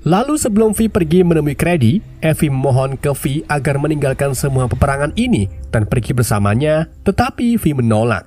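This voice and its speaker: Stuffy-nosed man with a lively delivery. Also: on the high side, a little fast. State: stuffy, nasal from a cold. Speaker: film recap narrator. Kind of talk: casual scripted film recap narration.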